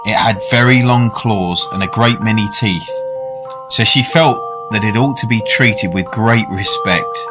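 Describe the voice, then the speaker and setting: A man's voice: medium pitch, lightly acted. An older man with a British accent playing a genteel, reflective diner who narrates a macabre tale in a radio drama. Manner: courteous, literary storytelling. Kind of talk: fan-made radio drama, first-person narration and dialogue. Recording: music under the voice.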